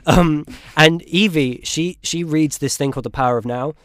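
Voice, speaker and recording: A man's voice: on the high side, normal speed, fairly bright tone, dry recording. Young male co-host, 18, rambling and playful, dry room. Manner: rambling, jokey, lots of fillers and restarts. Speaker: podcast co-host. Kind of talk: casual chat.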